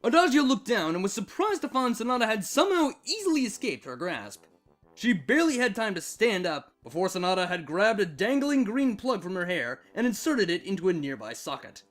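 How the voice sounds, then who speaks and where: A man narrating a scripted story with dramatic, expressive delivery. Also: high voice, brisk. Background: background music.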